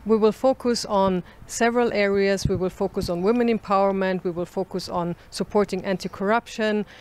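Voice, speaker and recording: An ambassador from Austria speaks in a notably high but flat voice. He lists his points one after another in repeated phrases. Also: fairly quick, clear, resonant tone. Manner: repetitive, list-like phrasing. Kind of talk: interview statement.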